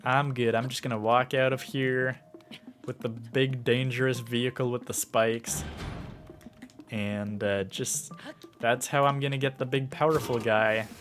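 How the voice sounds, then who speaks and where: A man's voice: medium pitch, fairly even intonation, a little slow, over music. A man streaming a video game, musing aloud with lots of fillers while sick and losing his voice. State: sick, starting to lose his voice. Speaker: gaming livestreamer. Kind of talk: casual gameplay commentary.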